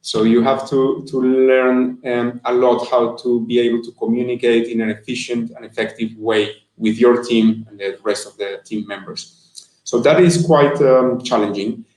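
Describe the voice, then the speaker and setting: A man's voice: medium pitch, steady tone, moderate pace, a little mellow. A man in his thirties, a guest answering interview questions over a video call, earnest and thoughtful with frequent restarts. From Colombia. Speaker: guest, marketing manager. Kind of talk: interview answers on a live stream. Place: room indoors. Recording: echoey room on a video call.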